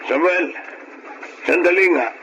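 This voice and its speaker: High-pitched scolding older father. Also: measured pace, fairly bright tone, muffled recording, soft background music. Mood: confrontational. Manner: scolding, exasperated. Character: disappointed, domineering father nagging his grown son. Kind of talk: Filipino radio drama acting. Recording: radio drama with faint background noise.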